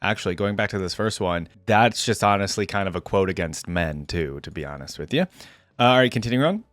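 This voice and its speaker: A man's voice: low-pitched, expressive, a little fast, a bit bright, no room sound. A man hosting a reaction video, giving casual, musing commentary on game voice lines in a conversational way.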